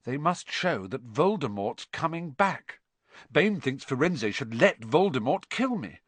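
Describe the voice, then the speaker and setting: A man's voice: medium pitch, big pitch swings, unhurried, a bit bright, dry recording, pitched above the performer's own voice. A man voicing an earnest, agitated young boy in an audiobook, with an urgent, feverish manner. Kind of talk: audiobook character dialogue.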